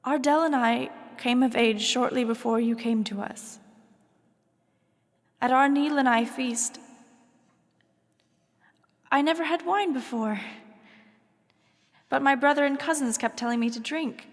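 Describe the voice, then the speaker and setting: Troubled young noblewoman, high creaky voice. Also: measured pace, long pauses, big-room sound. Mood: haunted confession. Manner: formal, confessional, haunted. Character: troubled young highborn bride, fearful and melancholy. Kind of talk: fantasy audio drama acting.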